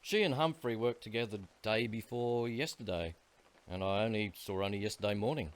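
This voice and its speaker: A man's voice: on the low side, brisk, natural voice. A man playing a chatty, matter-of-fact shop owner and witness in a radio play. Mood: chatty, certain.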